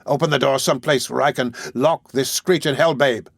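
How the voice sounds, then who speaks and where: A man voicing a brutal, desperate murderer in a storybook reading, speaking roughly and urgently. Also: medium pitch, normal speed, a bit bright, dry recording, studio quality. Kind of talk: audiobook character voice.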